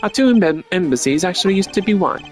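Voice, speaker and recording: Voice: mid-range voice, animated, quick pace, soft background music, pitched above the performer's own voice, close to an everyday voice. A performer voicing a courteous, earnest male ambassador who is easily flustered, in a video game. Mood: helpful. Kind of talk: video game character voice-over.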